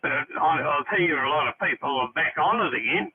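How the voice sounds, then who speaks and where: A man chatting casually over ham radio as a hobbyist, hesitant and halting in his delivery. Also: fairly high pitch, lively intonation, slightly rough. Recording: tinny band-limited radio link.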